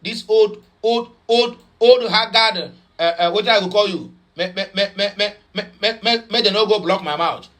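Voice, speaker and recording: Nigerian pidgin guy doing news commentary, high voice, mocking and rambly. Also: moderate pace, few pauses, a bit bright. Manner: chatty, mocking, switches between Pidgin, English and Igbo; rambling with many fillers and repeats.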